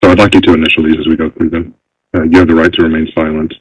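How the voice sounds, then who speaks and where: A man working as a federal agent, questioning a suspect in a calm, professional, procedural way, in a muffled lo-fi interview recording. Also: a bass voice, very fast, husky. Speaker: FBI agent. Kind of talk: formal interrogation.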